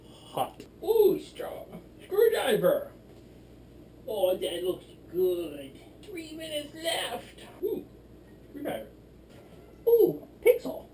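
A man's voice: extremely high pitch, huge pitch swings, drawn-out words, long pauses, mellow, slight background noise. A drunk young man rambling and playing silly while he bakes in a small home kitchen, recorded by a camera across the room. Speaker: vlogger. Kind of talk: drunken cooking demo vlog.

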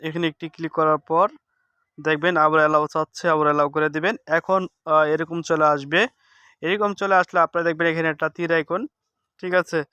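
Higher-pitched, clear man. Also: fairly even intonation, fast, bright, forceful tone, no room sound. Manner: instructive, repeats steps and seeks confirmation. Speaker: tech tips host. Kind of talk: casual screen-recording tutorial.

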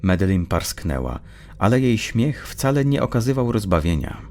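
A man narrating dark fiction from a script in a measured, suspenseful, dramatic storyteller's manner. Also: fairly low pitch, very animated, slight background noise.

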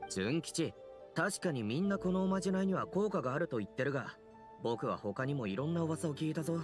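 A man's voice: on the high side, animated, a little fast, music in the background, close to an everyday voice. A man voicing a doubtful, plain-spoken young townsman in a Japanese anime game. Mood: wary.

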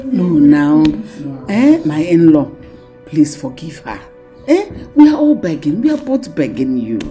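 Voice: mid-range voice, big pitch swings, a little slow, mellow, faint music behind. An actor playing an in-law who pleads for forgiveness. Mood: pleading.

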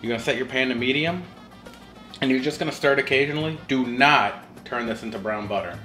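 A man's voice: medium pitch, quick pace, bright, forceful tone. A man hosting a casual home cooking video, cracking jokes and bantering with his wife. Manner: joking, playful banter. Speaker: cooking show host. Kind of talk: casual cooking vlog chat. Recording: echoey room, faint music.

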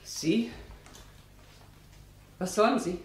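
Defensive hostile witness, radio drama, snappy and indignant, echoey room. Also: female voice, medium pitch, very slow. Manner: curt, indignant. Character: defensive, hostile woman witness hiding the truth. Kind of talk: German radio drama acting.

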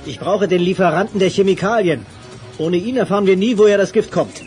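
Action hero for radio play: casual, impulsive, resolute, fairly high-pitched, music underneath. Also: male voice, fairly quick, darkish tone. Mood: urgent, forceful. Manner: brash, casual. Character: determined, hot-headed male crime-fighting hero. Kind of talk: audio drama acting.

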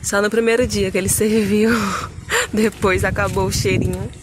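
A woman's voice: mid-range voice, fairly even intonation, moderate pace, very noisy. A young Brazilian woman chatting and teasing with a laugh, seated in a parked car outdoors. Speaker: co-host. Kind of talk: casual vlog chat.

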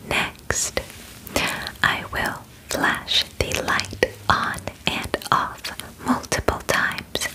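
A young woman voicing a calm, clinical alien examiner in an ASMR roleplay, speaking formal, reassuring instructions in a soft breathy whisper. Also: poor audio. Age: young adult. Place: bedroom, indoors. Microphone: close sensitive microphone.

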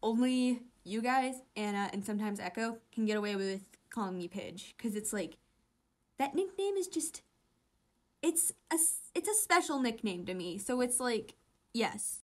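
A woman's voice: on the high side, animated, normal speed, darkish tone. A woman chatting casually as she reacts to fan comics, rambling and gushing with a giggly manner. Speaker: host reading fan comic dubs and commenting.

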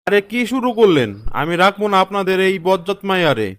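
A man's voice: high-pitched, big pitch swings, fast, fairly bright tone, no room sound. A man voicing an exasperated, grumbling young husband in a comic cartoon, with a Bangladeshi accent. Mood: indignant. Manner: aggrieved, grumbling.

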